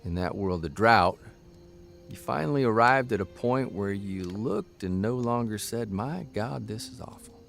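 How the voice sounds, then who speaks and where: Male columnist for audio drama: low-pitched, gravelly, stubborn, wry and easily riled. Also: animated, a bit bright, soft background music, heavily acted. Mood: subdued, reflective. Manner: halting, irritable, sardonic. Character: weary, defensive male newspaper columnist, stubborn, wisecracking. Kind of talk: naturalistic audio drama acting.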